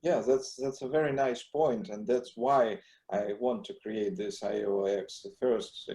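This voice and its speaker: Low, mellow-voiced man chairing a meeting. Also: fairly even intonation, measured pace, echoey room. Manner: hesitant, many filler sounds. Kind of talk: video meeting discussion.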